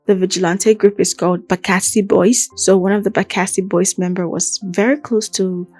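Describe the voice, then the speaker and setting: A woman's voice: mid-range voice, normal speed. Nigerian woman telling true crime, chatty. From Akwa Ibom, southern Nigeria. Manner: chatty, digressive, animated retelling with asides. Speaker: true crime podcast host. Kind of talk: casual conversational true crime storytelling.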